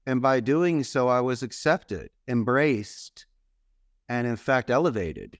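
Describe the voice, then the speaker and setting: A man's voice: medium pitch, expressive, slow pace, no room sound, clean studio sound. A man in his fifties with a Philadelphia accent, telling his story as a guest in an emphatic, speech-like way. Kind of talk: interview storytelling.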